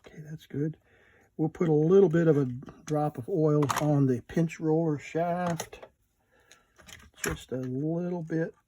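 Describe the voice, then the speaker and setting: A man hosting a casual hands-on repair video, unhurried and murmuring to himself as he works. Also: fairly high pitch, steady tone, mellow, a little hiss or hum. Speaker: host of a repair video channel.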